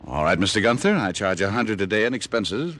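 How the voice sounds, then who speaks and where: An actor playing a laid-back, wry private detective in a 1950s radio drama, with casual, clipped hardboiled delivery. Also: male voice, fairly low pitch, sing-song, fairly quick, a bit bright, no room sound. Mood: businesslike. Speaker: lead actor and narrator. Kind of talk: 1950s radio detective drama acting, first-person narration. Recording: vintage radio recording with music.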